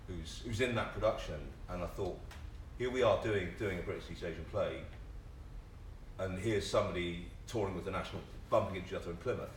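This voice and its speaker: A man's voice: sits low, fairly quick. A man speaking informally on a panel, repeating words and restarting his sentences heavily as he goes. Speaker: panellist, theatre practitioner. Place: indoor theatre event space, live panel. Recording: echoey room, distant mic, clearly noisy.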